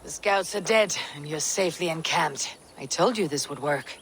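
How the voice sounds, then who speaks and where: A woman's voice: low voice, normal speed, noisy background, natural voice. An actress playing a bold Viking woman war leader in a video game, speaking with terse, unyielding confidence. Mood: confident. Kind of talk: video game drama acting.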